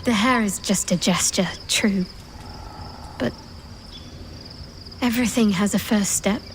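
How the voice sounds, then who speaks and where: A woman playing a disillusioned but resolute former cult devotee in a video game, reflective and measured. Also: medium pitch, background noise, natural voice. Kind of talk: dramatic game dialogue.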